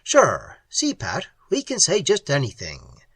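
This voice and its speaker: A bold, wry young American explorer in an audiobook, read by the narrator. He speaks bluntly and falters now and then. The sound is dry and close, with a husky tone. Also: a little high, wildly swooping pitch, measured pace, a bit bright, dry recording, voice pushed up, full character voice. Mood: wary, determined.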